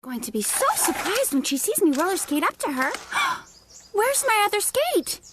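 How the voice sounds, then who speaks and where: Very high-pitched little cartoon girl. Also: moderate pace, very noisy, full character voice. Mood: eager. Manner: expressive, exasperated, instructing. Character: bossy, bright young girl cartoon bunny, big sister. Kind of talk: children's cartoon dialogue. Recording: music behind the voice.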